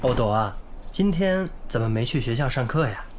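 A woman acting a patient, low-voiced schoolteacher. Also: animated, moderate pace, darkish tone, slight background noise. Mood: concerned. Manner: encouraging, patient. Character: patient, gentle village English teacher. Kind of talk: radio drama acting. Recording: music clearly behind the voice.

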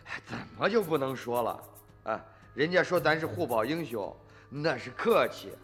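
An actor playing a brash, dismissive middle-aged farmer's son in a radio drama, blustering and scoffing in colloquial speech. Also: male voice, medium pitch, expressive, normal speed, fairly bright tone, soft background music, lightly acted. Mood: mocking, irritated.

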